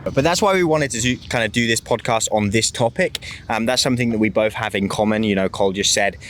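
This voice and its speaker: A man's voice: fairly low pitch, quick pace, fairly bright tone, a little hiss or hum. A young man from the United Kingdom, hosting a casual podcast chat in an easygoing, rambling way. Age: young adult. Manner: easygoing, rambling, laughs often. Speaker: podcast host.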